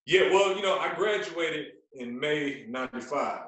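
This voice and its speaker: A man's voice: fairly high pitch, expressive, a little slow, fairly bright tone. An American man in his forties from Florida, a radio personality chatting casually as a podcast guest and repeating words for emphasis. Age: 40s. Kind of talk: casual interview storytelling. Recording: very echoey, roomy sound.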